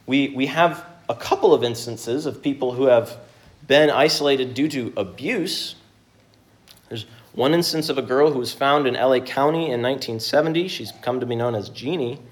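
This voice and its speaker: A man of middle pitch preaching with earnest, measured delivery. He reads scripture, prays, and teaches, pausing between phrases. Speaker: pastor. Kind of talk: sermon with scripture reading and prayer.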